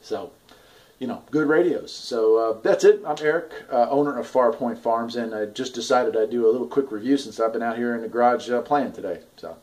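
A man in his forties talking casually and haltingly in an off-the-cuff video review, recorded in a garage on a camera at arm's length. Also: medium pitch, a little mellow. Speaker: product reviewer, farm owner. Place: garage, indoors.

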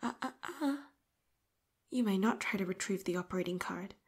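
A woman voicing an obsessive, clingy female robot companion in an audio roleplay, talking breathy and hushed into a close mic, swinging from pleading to eerily calm control. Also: mid-range voice, normal speed. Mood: calm, possessive. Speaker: voice actress.

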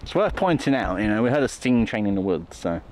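A man's voice: fairly low pitch, very animated, brisk, darkish tone, a little hiss or hum, low-quality recording. A man chatting informally as a vlog host, rambling through his thoughts on a handheld recording outdoors. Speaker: video host exploring haunted places. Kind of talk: casual vlog chat to camera. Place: outdoors on a woodland walk. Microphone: handheld phone.

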